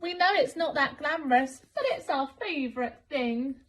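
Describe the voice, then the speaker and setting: A woman's voice: high voice, normal speed, voice pushed up, lightly acted. A woman voicing a cheerful, cheeky little barnacle from a storybook in a chirpy, sing-song way.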